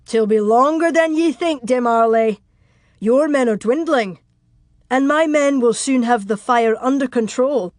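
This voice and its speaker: A woman's voice: fairly high pitch, a little slow, a little mellow, no room sound, studio quality, voice pushed up, natural voice. A woman voicing a brave, devoted medieval castle lord in an audiobook, earnest and using archaic words. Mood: defiant. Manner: earnest, archaic speech with "ye" and "lass".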